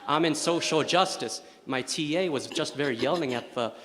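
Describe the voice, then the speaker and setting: A man's voice: a little high, moderate pace, a bit bright. A young man from Chile, a student, giving an informal, opinionated public comment at a campus forum. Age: young adult. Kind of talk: public comment at a town hall. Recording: large hall reverb.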